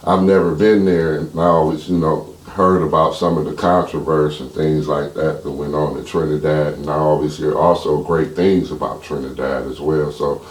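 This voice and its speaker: American guy hosting a podcast, super deep voice, casual questions, echoey room. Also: steady tone, moderate pace, few pauses. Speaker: podcast host, interviewer. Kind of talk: casual interview chat.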